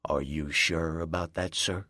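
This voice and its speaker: A man's voice: very deep voice, steady tone, measured pace, bright, forceful tone, no room sound, clean studio sound, voice pushed down, exaggerated voice. A man voicing a wary male bartender who speaks cautiously to an angry boss.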